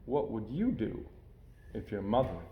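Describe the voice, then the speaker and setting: A man's voice: on the low side, sing-song, a little slow, reverberant, highs cut off. A man reading a children's story aloud as a storyteller, with theatrical, emphatic delivery of the rhymes.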